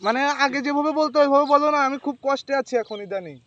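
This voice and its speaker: A very high-pitched, lovelorn village boyfriend, acted out by a man for a prank call. He pleads and whines, melodramatic and repeating his complaints. The recording has clear outdoor background noise. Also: racing, dull audio. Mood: lovesick, pleading. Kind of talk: prank phone call acting.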